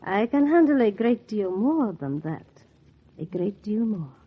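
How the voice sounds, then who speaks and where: Sultry, poised temptress, breathy mid-range voice, suggestive delivery, muffled vintage radio noir. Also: female voice, mid-range voice, big pitch swings. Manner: suggestive, measured. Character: sultry, poised, youngish French-Canadian femme fatale. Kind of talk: 1940s radio acting. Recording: dull vintage 1940s radio recording.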